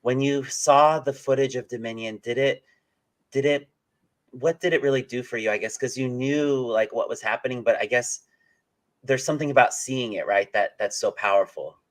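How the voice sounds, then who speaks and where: The man running a relaxed online livestream interview, easing guests into long, loosely worded questions. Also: mid-range voice, moderate pace. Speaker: interviewer, channel host. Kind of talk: casual live interview.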